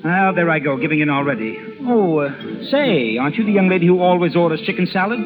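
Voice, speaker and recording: Brash flirt, muffled 1930s radio. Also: male voice, sits high, lively intonation, quick pace. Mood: breezy, flirtatious. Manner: glib, wisecracking. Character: brash, persistent, flirtatious man pestering a lady. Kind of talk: 1930s radio drama acting. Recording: muffled 1930s radio recording over music.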